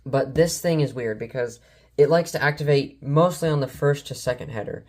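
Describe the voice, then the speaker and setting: A man talks casually through a collection like a hobby vlogger. He is enthusiastic and rambling, often doubling back and stumbling over his words. His voice sits at an ordinary middle pitch. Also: normal speed, noisy background. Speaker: collector showing weather radios and scanners. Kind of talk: casual collection showcase vlog.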